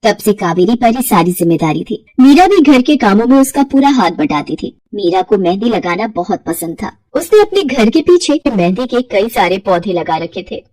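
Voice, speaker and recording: A woman's voice: medium pitch, very animated, moderate pace, few pauses, a little mellow, dry recording, distant mic, low-quality recording. A woman narrating scripted moral tales for cartoons in a storytelling style.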